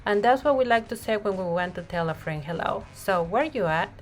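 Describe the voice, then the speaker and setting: Lady reading a kids book out loud, medium pitched voice, stresses key words, music playing behind her. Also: expressive, fairly quick. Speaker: read-aloud narrator. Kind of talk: expressive picture-book storytelling read-aloud.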